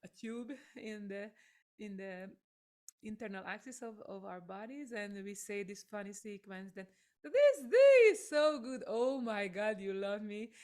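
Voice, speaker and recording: Hungarian accent lady hosting a video call chat, gushy, laughs a lot, mid pitch. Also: sing-song, measured pace. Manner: warm, effusive, laughs often. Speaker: host, voice teacher.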